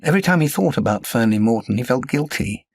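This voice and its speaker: A man narrates a crime novel in a measured, articulate style. His voice sits at an average male pitch. The sound is very dry, as in audiobook recording. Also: expressive, breathy edge, no echo.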